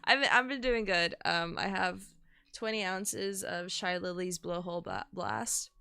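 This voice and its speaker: A woman's voice: medium pitch, fairly even intonation, measured pace, creaky, pushed and bright, smooth, no echo. A woman streamer chatting casually with her audience while sick, nasal and sniffly, rambling and swearing. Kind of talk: casual live-stream chat.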